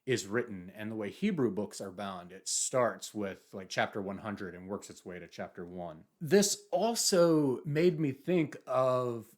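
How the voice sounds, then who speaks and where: A man's voice: on the low side, unhurried, few pauses, a little mellow. A man talking through a novel in a book review video, musing thoughtfully and sometimes reading passages aloud.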